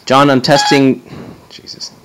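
A man's voice: mid-range voice, monotone, unhurried, background noise. A man giving a casual hands-on gadget demo as a reviewer, offhand and sardonic, swearing and sounding exasperated.

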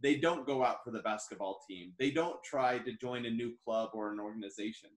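A man's voice: medium pitch, fairly quick. A man in his forties hosting an interview over a video call, speaking earnestly and encouragingly. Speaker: host. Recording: echoey room on a video call.

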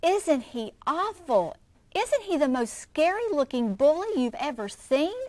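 A woman voicing a frightened young boy raccoon cub from a storybook, pleading in a high childlike voice. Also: sing-song, measured pace, a little mellow, no room sound.

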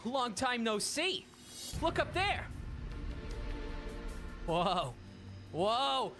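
A man puts on a goofy cartoon animal for a comedy fan dub. The delivery is excitable and dramatic, leaping around in pitch over a clear music bed. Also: drawn-out words, heavily acted. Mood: excited, gleeful.

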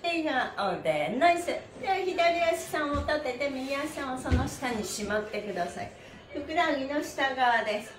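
High-voiced cheerful woman leading stretches, echoey room. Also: big pitch swings, normal speed. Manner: cheerful, encouraging, singsong chanting. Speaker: stretching instructor. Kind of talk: follow-along exercise instruction with chanted counts. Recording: echoey room, faint background noise.

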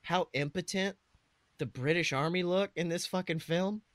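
A man's voice: high voice, moderate pace, fairly bright tone, dry recording. A man co-hosting a podcast in casual comedic chat, joking with lots of slang and swearing. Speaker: podcast co-host.

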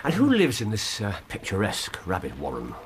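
An English actor playing a shrewd, inquisitive gentleman detective in a radio drama, speaking precisely and musingly with theatrical flair. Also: on the low side, wildly swooping pitch, a little fast, a little hiss or hum. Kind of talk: 1990s British radio drama acting.

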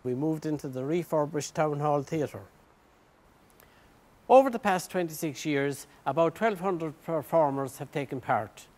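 An Irish man in his sixties narrates a documentary from a script. His voice sits a little high, and his phrasing is flowery and promotional. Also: darkish tone.

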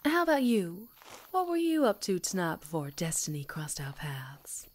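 A woman's voice: on the low side, very animated, normal speed. A woman playing a sweet, jittery, chatty young woman in an ASMR roleplay, with a girlish manner. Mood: friendly, chatty.

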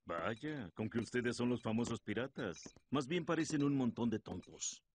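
A man's voice: medium pitch, expressive, normal speed, slight background noise, natural voice. A man playing an arrogant, menacing mountain bandit chief in anime-style acting, with music behind him. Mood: mocking.

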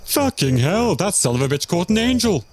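A man's voice: sits high, moderate pace, slight background noise. A man playing a touchy, bickering head of a two-headed demon in an audio drama. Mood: grumbling. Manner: bickering, animated. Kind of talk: audio fiction podcast acting. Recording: crickets and outdoor ambience behind.

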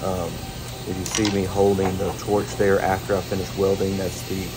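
An American man in middle age narrates a casual welding how-to over music. His voice is low and fairly flat in melody. He talks in a laid-back, folksy way, like a tradesman showing his work. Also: normal speed, low-quality recording. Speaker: welder hosting a tutorial.

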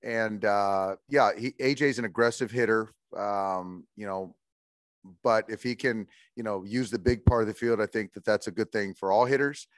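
A man in his forties, a baseball manager answering postgame press questions in a measured way, with many fillers and restarts, heard over a video call. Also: lowish voice, dry recording. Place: indoors in front of a logo backdrop.

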